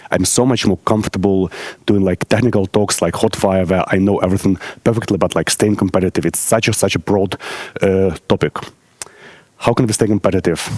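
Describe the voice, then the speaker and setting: A man from Ukraine, in his thirties, addresses a conference audience in a halting, friendly way, stopping often on fillers. His voice sits very low. The sound is dry and close. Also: darkish tone, no echo. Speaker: conference speaker. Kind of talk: conference talk. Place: conference hall stage.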